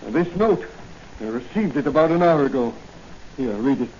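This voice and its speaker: An actor playing an anxious middle-aged police commissioner in 1940s radio style, formal and earnest. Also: male voice, mid-range voice, lively intonation, moderate pace, darkish tone, lightly acted. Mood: worried, formal. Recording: muffled, noisy 1940 radio recording.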